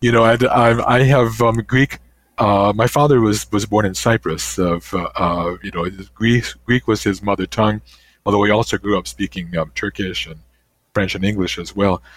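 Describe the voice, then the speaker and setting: An older man, a guest author, answering interview questions in a thoughtful, halting way with many restarts. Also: fairly low pitch, steady tone, no echo.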